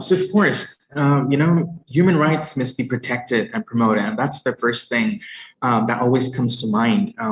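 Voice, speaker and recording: A man's voice: mid-range voice, roomy sound. A young man from Bangladesh, a postgraduate student, speaking modestly and hesitantly with many fillers as a panelist on a video call. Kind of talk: online panel talk. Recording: dull lo-fi call audio with faint noise.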